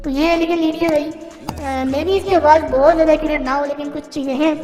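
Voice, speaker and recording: A man's voice: very high-pitched, steady tone, fast talker, a little mellow, soft background music, pitched above the performer's own voice. A man talking through an AI voice changer that turns him into a young woman, chatting casually and asking viewers for their opinion. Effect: AI voice changer filter.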